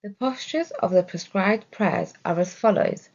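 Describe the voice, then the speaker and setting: Female narrator for religious study audio. Deliberate scripted delivery, some vocal fry, slightly muffled sound. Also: mid-range voice, expressive, a little mellow. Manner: measured, deliberate reading. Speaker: reader of religious study text.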